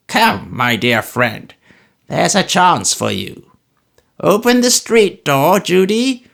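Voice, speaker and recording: A man voicing a spiteful, gleeful old man with a shrill, cackling, drawn-out delivery. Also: vocal fry, a bit bright, heavily acted. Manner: mocking, vindictive, drawn out.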